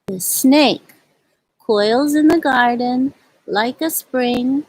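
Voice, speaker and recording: A woman with a husky voice hosting a storytime for young children, speaking gently and in simple phrases as she reads and chats outdoors. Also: sits high, extremely slow, rough recording. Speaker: children's storytime host at a community farm. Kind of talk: storybook read-aloud and casual chat to children. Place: outdoors at a farm.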